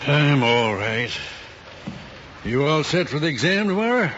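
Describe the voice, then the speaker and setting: Worn out pushy dad, slow talker but animated, old radio drama, faint hiss. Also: male voice, on the high side. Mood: weary. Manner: insistent, brushing objections aside. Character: tired, ambitious, pushy father living through his son. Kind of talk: 1950s radio drama acting. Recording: muffled, faintly noisy old radio recording.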